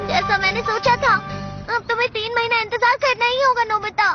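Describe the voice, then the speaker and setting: Squeaky-high cartoon robot pal, male, earnest n caring, lo-fi sound, loud music under it. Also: fairly even intonation, brisk. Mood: resigned, helpful. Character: caring, sensible male robot companion with gadgets. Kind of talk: animated cartoon dub.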